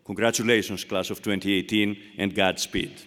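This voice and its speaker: A man's voice: on the low side, fairly even intonation, normal speed, noisy background. Greek-accented man orating in a big hall. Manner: rhetorical, builds to emphatic repeated phrases. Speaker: chancellor emeritus giving commencement address. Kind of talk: formal commencement speech. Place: large indoor ceremony hall. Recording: long reverb of a large hall.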